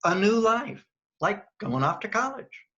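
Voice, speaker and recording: An actor playing an exasperated, judgmental adult son in a radio play, questioning and insistent. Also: male voice, sits high, big pitch swings, a little slow, sharp tone, slightly rough, lo-fi sound, full character voice. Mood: defensive.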